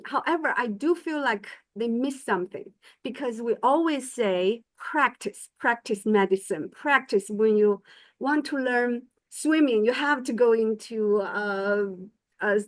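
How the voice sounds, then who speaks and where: A woman's voice: fairly high pitch, dry recording. A middle-aged woman whose first language is Chinese, giving a conference presentation as medical faculty, hesitant with many fillers and restarts. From China.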